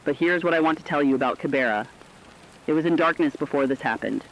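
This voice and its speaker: A man playing a reflective, compassionate radio correspondent, delivering a scripted essay in an earnest, deliberate way. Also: on the high side, dull audio, a little hiss or hum, natural voice. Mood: earnest, somber. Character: reflective, compassionate male radio correspondent during a global crisis. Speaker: radio commentator.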